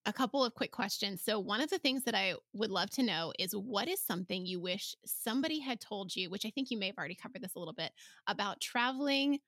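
A woman with a bright, clear-cut voice at a middling pitch, recorded close and dry. She hosts a casual interview, sounding agreeable and encouraging. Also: lively intonation, fast, dry recording. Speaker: podcast host.

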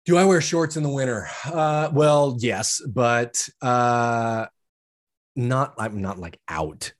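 A man chatting casually as a podcast guest, relaxed, with lots of "like" and trailing, quiet endings. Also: mid-range voice, slow pace, dry recording, studio quality. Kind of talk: casual chat.

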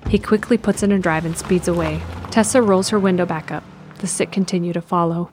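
A woman's voice: lowish voice, steady tone, brisk, a little mellow, a little hiss or hum. A woman reading scripted narration for an audio drama as its narrator. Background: music.

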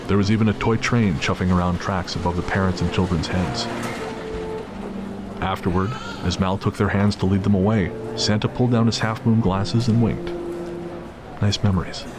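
A man's voice: low voice, fairly even intonation, normal speed. A man narrating a scripted dramatic story as the narrator of an audio drama. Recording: clear background noise of city ambience.

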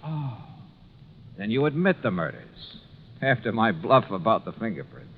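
A muffled old radio recording of a tough, shrewd American male investigator. He speaks in clipped, hard-boiled phrases with lively swings of pitch, telling his case in 1950s detective drama style. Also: moderate pace, slight background noise. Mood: accusing. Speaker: lead actor, narrator.

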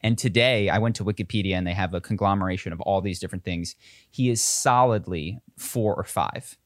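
A man co-hosting a podcast, telling a story in casual chat with an enthusiastic, quick way of talking. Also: low voice, no echo, clean studio sound. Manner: enthusiastic storytelling, quick asides, laughs easily. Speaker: podcast co-host.

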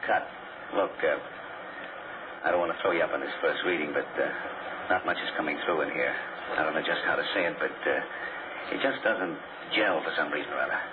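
An actor playing a tactful but dissatisfied director, halting and apologetic, in a 1940s radio drama. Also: male voice, very deep voice. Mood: tactful dissatisfaction. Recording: tinny, noisy 1940s radio recording.

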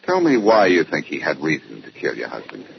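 An actor playing a confident, wry amateur detective, brisk and probing, in 1940s radio style. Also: male voice, very deep voice, huge pitch swings, gravelly, heavily acted. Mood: probing, firm. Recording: muffled, noisy old-time radio recording.

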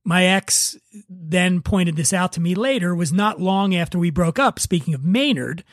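A man with a notably high voice hosts a podcast in casual, conversational style. The sound is dry and close, and his talk is loose and informal. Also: animated, moderate pace, no echo. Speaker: podcast host.

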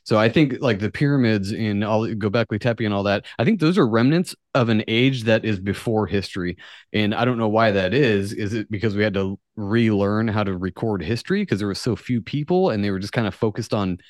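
A man's voice: fairly low pitch, animated, brisk, a little mellow, no echo, studio quality. A man hosting a podcast, talking casually and musing speculatively, with some offhand swearing. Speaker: podcast host. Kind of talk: casual conversational podcast talk.

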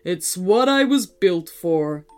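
A woman voicing a huge robot dinosaur in a children's story, gruff and curt with a lonely streak. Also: lowish voice, lively intonation, darkish tone, faint music behind, voice pushed down. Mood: sad, resigned. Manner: roaring, curt, drawn-out delivery.

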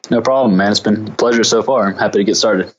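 A man's voice: on the low side, steady tone, fast talker, muffled recording, slight background noise. A man from Arkansas with an American accent, answering interview questions in an easygoing, informal way as a company founder. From Arkansas, United States.

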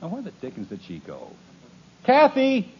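A man's voice: sits high, wildly swooping pitch, normal speed, husky, heavily acted. An actor playing a harried suburban father who is sarcastic and exasperated, in 1950s radio comedy. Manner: exasperated, wry. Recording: muffled old radio broadcast.

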